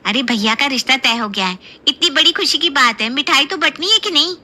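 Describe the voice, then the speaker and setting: A woman's voice: high-pitched, expressive, brisk, bright, forceful tone, heavily acted. A woman playing a gossipy, mischievous young daughter in a cartoon story, chatty, insistent and needling. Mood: excited. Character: gossipy, mischievous young daughter and sister-in-law, blurting everything. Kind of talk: Hindi cartoon story voice acting.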